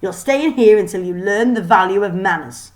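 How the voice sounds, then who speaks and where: A woman's voice: medium pitch, animated, fairly quick, natural voice. A woman voicing a stern older schoolmistress in a children's storybook, scolding, imperious and clipped. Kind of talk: children's storybook read aloud.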